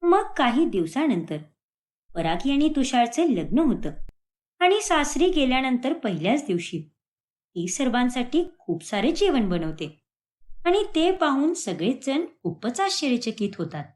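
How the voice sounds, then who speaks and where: Female storyteller, high-pitched, expressive scripted narration. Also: a little slow.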